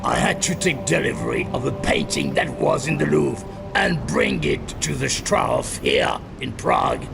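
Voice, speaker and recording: An actor playing a wounded, defensive crime boss in a video game, speaking gravely and haltingly with a gravelly voice that dips into breathiness. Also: male voice, medium pitch, huge pitch swings, full character voice. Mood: defensive, bitter. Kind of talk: video game cutscene acting. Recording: loud game music behind voice.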